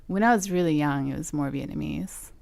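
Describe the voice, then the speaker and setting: Low-voiced young woman, relaxed chat. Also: a little fast, creaky, dry recording. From Southern California. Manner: relaxed, hesitant, lots of likes and ums. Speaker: guest musician. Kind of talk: casual interview chat.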